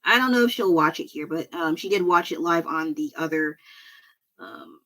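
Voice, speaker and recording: A woman with a low voice, chatting casually at her home desk while drawing on a livestream, rambling and poking fun at herself with frequent laughs. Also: expressive, normal speed, fairly bright tone. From United States. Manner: rambling, self-deprecating, laughs often. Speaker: livestreaming artist.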